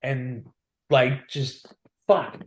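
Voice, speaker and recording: A man's voice: medium pitch, very slow, poor audio. Brief: Australian male veteran, 30s, candid podcast guest, easygoing storyteller. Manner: relaxed, candid, acts out quotes. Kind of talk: casual storytelling chat.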